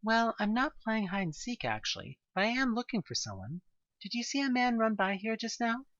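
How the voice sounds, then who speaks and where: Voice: medium pitch, big pitch swings, moderate pace, a bit bright, dry recording, muffled recording, voice pushed up. A performer voicing a wary, polite young woman searching for her uncle in an audio drama. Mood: questioning.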